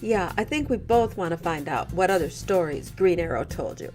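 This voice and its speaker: A man doing a high falsetto heroine. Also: lively intonation, moderate pace, darkish tone, faint music behind. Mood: friendly.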